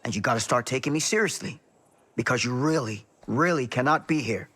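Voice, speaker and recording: An actor playing a stern, weary middle-aged small-town sheriff and dad in a video game, speaking with plain authority. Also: male voice, medium pitch, brisk, a bit bright, breathy edge. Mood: stern, pleading. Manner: authoritative, warning, plain-spoken. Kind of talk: naturalistic video game cutscene acting.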